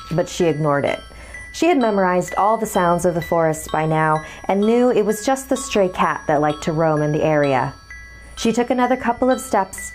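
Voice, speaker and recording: Low-pitched woman narrating a horror story. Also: a little mellow. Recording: music behind the voice. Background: music.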